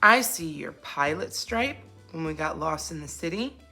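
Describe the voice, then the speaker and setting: Woman as a reassuring mother zebra, expressive. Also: low-pitched, moderate pace, soft background music, heavily acted.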